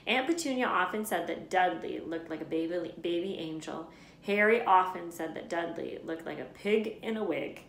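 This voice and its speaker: A woman reading a children's story aloud in an expressive narrating style. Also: on the low side, moderate pace, gravelly, slight background noise. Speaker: read-aloud storyteller, teacher. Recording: echoey room.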